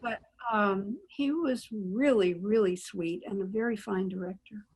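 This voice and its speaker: Older American woman with a husky voice. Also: medium pitch, unhurried, poor audio. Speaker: panel guest, former child radio actress. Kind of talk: reminiscing in an online panel interview.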